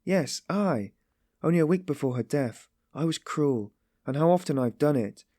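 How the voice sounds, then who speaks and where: A man's voice: medium pitch, normal speed, darkish tone, dry recording, clean studio sound, pitched above the performer's own voice, natural voice. A man voicing an anguished, meek but passionately compassionate young woman, pleading in breathless exclamations and repetitions for an audiobook story.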